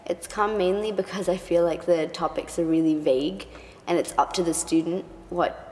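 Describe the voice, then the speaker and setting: A woman's voice: on the low side, steady tone, moderate pace, a little mellow, in a large hall, slight background noise. A young woman who grew up in Hong Kong, talking thoughtfully and measuredly as a first-year student in a documentary interview. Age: young adult.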